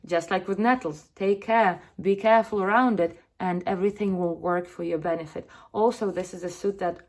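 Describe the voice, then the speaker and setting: A woman in her thirties, originally from Russia, speaking in a somewhat low voice. She explains her cards in an earnest, unhurried way, often pausing with fillers and starting sentences over. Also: steady tone, darkish tone. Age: 30s. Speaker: card reader presenting her deck. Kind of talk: casual spoken explanation to camera.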